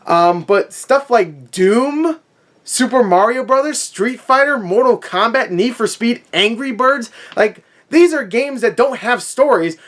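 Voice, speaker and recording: Male vlogger, high-pitched voice, conversational and opinionated rant delivery, sarcastic with swearing. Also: animated, a little slow, a bit bright. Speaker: movie review vlogger.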